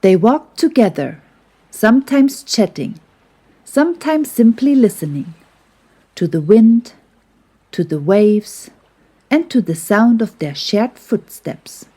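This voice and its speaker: A woman reads a children's bedtime story in a gentle, unhurried way. Her voice is fairly high and mellow with a soft husk to it, and her delivery is soothing and tender. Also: big pitch swings, slightly rough.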